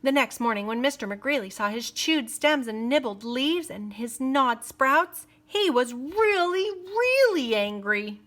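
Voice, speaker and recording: Bright-voiced teacher reading aloud. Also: female voice, high voice, expressive, unhurried, few pauses. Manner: playful, hushed whispers for suspense. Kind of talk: reading a picture book aloud with teaching asides.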